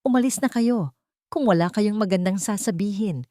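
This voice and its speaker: A woman's voice: medium pitch, moderate pace, dark tone, no echo, clean studio sound, voice pushed up, lightly acted. A woman storyteller voicing a protective, firm aunt from a humble household.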